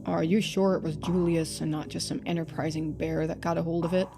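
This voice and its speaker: A woman's voice: sits low, level tone, brisk, vocal fry, music in the background, voice pushed down. A woman voicing a doubting, dry small-town sheriff in a story game. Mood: skeptical. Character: skeptical small-town sheriff questioning a complaint.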